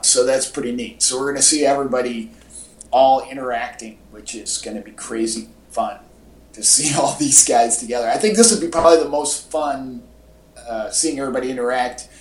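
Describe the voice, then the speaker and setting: A man's voice: mid-range voice, darkish tone. Man chatting casually, echoey recording. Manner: enthusiastic fan talk with casual slang. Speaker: podcast host. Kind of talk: casual pop-culture podcast chat. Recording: echoey, roomy home podcast audio.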